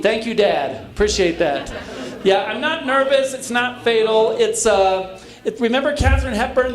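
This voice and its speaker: A middle-aged man doing a stand-up comedy set on a club stage, camp and breezy, with quick asides to the audience. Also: high-pitched. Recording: echoey club with laughing crowd. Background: audience laughter.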